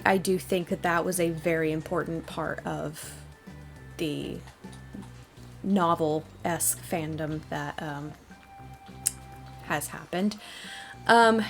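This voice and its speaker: A young adult woman hosting a casual question-and-answer video, talking in a thoughtful, hesitant, rambling way. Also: fairly low pitch. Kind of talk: casual fan Q&A talk.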